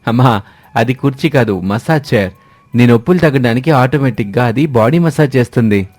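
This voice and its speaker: A man voicing a calm adult son in a family cartoon, reassuring and explaining things. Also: medium pitch, a little fast, no echo, close to an everyday voice. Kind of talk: animated moral story dialogue.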